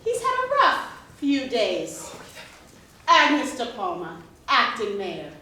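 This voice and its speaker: A woman playing a brisk, officious small-town commissioner in a theatrical, projected stage style. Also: fairly high pitch, huge pitch swings, bright, forceful tone, heavily acted. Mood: brisk, polite. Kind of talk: musical theatre stage acting. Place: staged reading before live audience. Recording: echoey hall, distant mic, faint noise.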